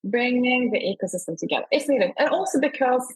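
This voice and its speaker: A woman's voice: fairly high pitch, lively intonation, fairly quick, breathy edge, low-quality recording. A woman speaking enthusiastically as a guest in a conversational interview. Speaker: award founder, interview guest.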